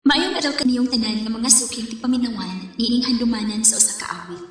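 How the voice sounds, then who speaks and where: An actress playing an earnest young woman student in a Cebuano Filipino radio drama, with a husky voice. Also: fairly high pitch, fast talker, over music, natural voice. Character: Rain, hurt young woman, nursing student telling her story.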